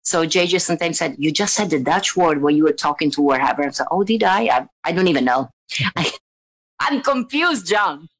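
A woman from Italy with an Italian accent, telling stories as an interview guest in a chatty, animated way with frequent restarts. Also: low-pitched, normal speed, poor audio. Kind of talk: casual conversational storytelling.

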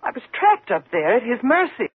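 On a muffled old radio recording, a frightened single woman haunted by fear. Her husky voice moves up and down dramatically, tense and faltering, in classic 1950s suspense style. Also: fairly high pitch, big pitch swings, a little fast, dry recording. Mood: haunted, dread.

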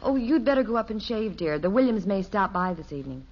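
An actress playing a patient middle-aged housewife, soothing and gently skeptical, in a 1950s radio comedy. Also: female voice, medium pitch, expressive, fast. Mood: wry, calm. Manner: soothing, dryly wry. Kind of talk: 1950s radio sitcom acting. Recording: muffled vintage 1950s radio recording.

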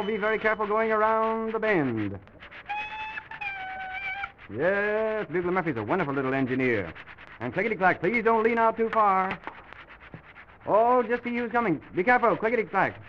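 A man hosting an old-time children's radio show, speaking gently and simply to small children as he tells a make-believe story. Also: high-pitched, noisy background. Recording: dull old radio recording with music.

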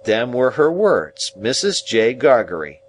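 A male narrator acts an earnest, simple working man from a storybook. He labours slowly over his phrases, rustic and kindly, lifted in pitch, with faint noise behind. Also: very animated. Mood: deliberate. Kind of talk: audiobook character voice.

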